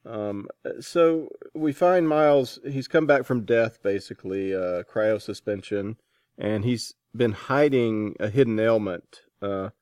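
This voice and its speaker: A man's voice: fairly low pitch, a little slow, darkish tone, clean tone, no room sound, studio quality. A man hosting a conversational book interview, feeling his way hesitantly into his questions. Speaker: interviewer, host.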